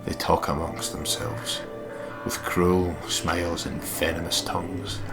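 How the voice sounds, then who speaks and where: A man reading a horror visual novel aloud as a let's play narrator, in a measured, dramatic way. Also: sits low, flat delivery. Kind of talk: reading game narration aloud, with casual asides. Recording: music behind the voice. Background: game music.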